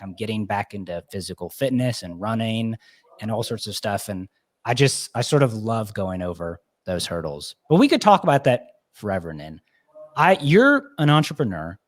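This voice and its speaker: A man's voice: on the low side, expressive, moderate pace. A man hosting a podcast, chatty and enthusiastic, with many "like" fillers. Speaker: podcast host. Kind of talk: casual interview talk.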